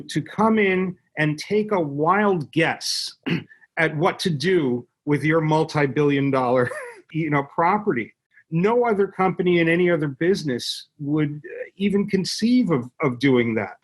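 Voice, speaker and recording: A man speaking as an expert interview guest, explaining conversationally in a halting, emphatic way and repeating words for effect. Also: a little high. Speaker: interview guest, media company executive.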